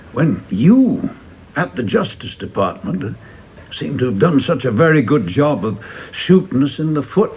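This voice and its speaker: A man's voice: medium pitch, a little mellow, slight background noise. A man playing a dry, hesitant, mock-polite congressional questioner in a radio play. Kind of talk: dramatic radio play acting.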